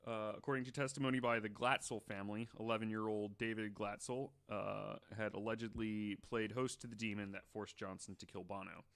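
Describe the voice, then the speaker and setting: A man's voice: lowish voice, level tone, fairly quick, creaky, few pauses, fairly bright tone. Casual man reading out a true story. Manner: reads facts then riffs with profane jokes. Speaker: podcast host.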